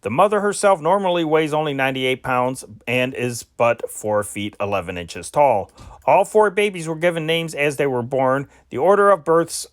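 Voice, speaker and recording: Man, fairly high voice, amateur news reader, scripted delivery, deliberate with names and numbers, close dry sound. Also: expressive, dry recording. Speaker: amateur reader of historic newspaper reports.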